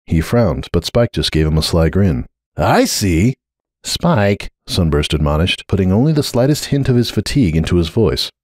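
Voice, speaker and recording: A man's voice: sits low, animated, a little fast, few pauses, darkish tone, no echo, clean studio sound. A man giving a dramatic scripted narration of a story.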